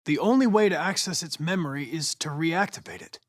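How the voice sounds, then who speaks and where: A young man acting as a polite, analytical android police investigator in a video game, speaking in a precise, formal, measured way. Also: on the high side, close to an everyday voice. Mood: polite, focused. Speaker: android detective.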